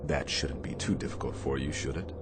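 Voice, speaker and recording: An actor playing a stern, demanding but caring Navy captain in a radio drama, with a commanding, sardonic delivery. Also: male voice, extremely low pitch, steady tone. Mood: angry, scolding. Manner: commanding, sardonic, deliberate phrasing. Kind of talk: radio theatre acting. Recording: clear background noise, shipboard ambience.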